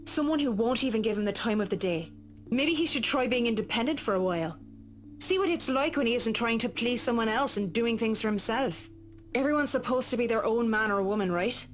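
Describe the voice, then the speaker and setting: An actress plays a straight-talking professional woman in a visual novel. She has an Irish accent and a medium-high voice, tactful but casual and independent-minded. Faint music runs underneath. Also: animated, brisk, lightly acted. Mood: frank, careful. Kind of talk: visual novel game acting.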